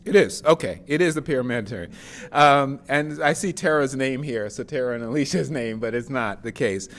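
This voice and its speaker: Male moderator, halting and self-correcting as he introduces the next presenter. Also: mid-range voice, a bit bright. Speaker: event moderator. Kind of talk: introducing a speaker.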